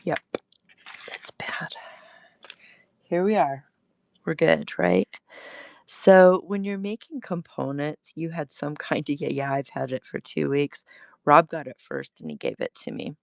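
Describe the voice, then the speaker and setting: Slow, gravelly-voiced woman crafter. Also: low-pitched, very animated, dry recording. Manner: chatty, greets viewers while demonstrating. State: recovering from illness, gravelly throat. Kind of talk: casual live crafting stream. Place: home crafting space.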